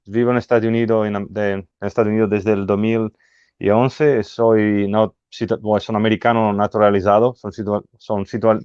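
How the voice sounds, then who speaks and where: A man's voice: lowish voice, level tone, darkish tone, smooth, no room sound. A man from Italy with an Italian accent, speaking Spanish as a guest and often stopping to search for words. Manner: halting, searching for words, restarts. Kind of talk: casual interview chat. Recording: dull, muffled audio.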